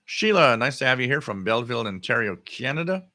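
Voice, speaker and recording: A man hosting a casual live hobby stream, answering chat comments in a halting way and often repeating his words. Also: medium pitch, very animated, pushed and bright, no echo, clean studio sound. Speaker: livestream host.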